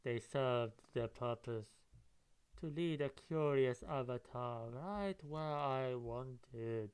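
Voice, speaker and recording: A man voicing a cold, gloating admiral from a comic book, lecturing with slow menace. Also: mid-range voice, darkish tone, smooth, natural voice, low-quality recording. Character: cold, gloating male military admiral villain.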